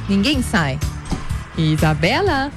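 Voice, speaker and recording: A woman with a Brazilian Portuguese accent co-hosting a live news show, brisk and playful. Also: mid-range voice, big pitch swings, music in the background. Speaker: news co-anchor.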